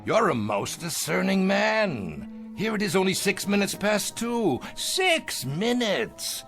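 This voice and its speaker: An actor playing a ruthless self-made business tycoon in an audio drama, drawing out phrases with mocking relish. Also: male voice, sits high, very animated, slow, creaky, a bit bright, soft background music, full character voice. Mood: gloating, menacing.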